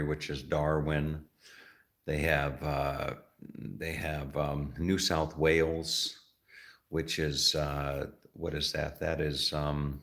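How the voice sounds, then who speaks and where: A middle-aged man from the United States talking casually as a travel vlogger, rambling and halting with many fillers and restarts. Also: rumbling low, gravelly, fairly bright tone. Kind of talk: casual vlog talk.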